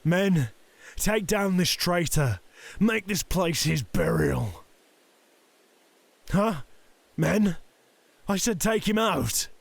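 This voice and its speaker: Slow, commanding Yakuza boss played by a man. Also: high-pitched, animated, vocal fry, no echo, full character voice. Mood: cold, commanding. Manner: commanding, formal, deliberate. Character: stern, proud Yakuza boss, a conflicted father. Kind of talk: audio roleplay drama acting.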